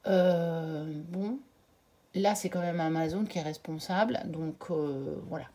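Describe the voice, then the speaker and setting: A woman talks through a side-by-side product comparison for her channel, unhurried and a little low in pitch. She often pauses on fillers and restarts as she gathers her thoughts. The tone is casual and conversational. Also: mellow. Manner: hesitant, many filler pauses. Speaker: channel host reviewing tarot decks.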